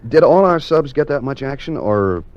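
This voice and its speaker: A man acting a plain-spoken everyman in an old-time radio drama, halting and often repeating his words. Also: medium pitch, animated, close to an everyday voice. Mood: curious, impatient. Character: plain-spoken, hesitant everyman, weary sailor and curious questioner. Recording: muffled lo-fi vintage radio recording.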